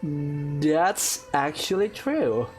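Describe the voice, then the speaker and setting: Brief: a low-pitched woman streamer, lively, wry commentary over a game. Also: extremely slow. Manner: joking, sarcastic asides. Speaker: gaming channel host. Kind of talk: casual let's-play commentary. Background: game music.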